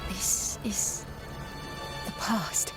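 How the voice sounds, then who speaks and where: Resolute young game heroine. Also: female voice, extremely slow, over loud music. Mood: solemn. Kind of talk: video game voice acting.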